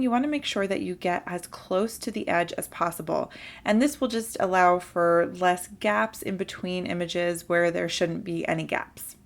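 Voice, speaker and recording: A young woman narrates a papercraft tutorial over her hands at work, explaining each step in a friendly, patient way. Her voice sits at a middle pitch and is a little off from a sore throat she has today. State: slightly sick with a sore throat. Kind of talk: instructional voice-over for a crafting video.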